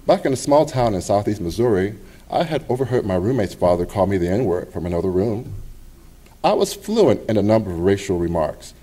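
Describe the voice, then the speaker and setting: A middle-aged man reading his personal essay aloud at a public library event, in a measured, expressive way with pauses. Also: fairly low pitch.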